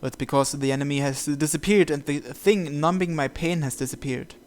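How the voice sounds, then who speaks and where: A man reading a visual novel's story text aloud as narration, in a measured, deliberate way. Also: on the high side. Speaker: video game player reading the script aloud.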